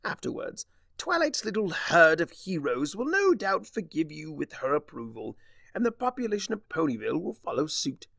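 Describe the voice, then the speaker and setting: A man's voice: medium pitch, gravelly, fairly bright tone, no room sound, heavily acted. A man voicing a mocking, vengeful chaos creature in a fan fiction reading, with a pompous, wordy, taunting manner. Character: theatrical, mocking, vengeful male chimera trickster of chaos.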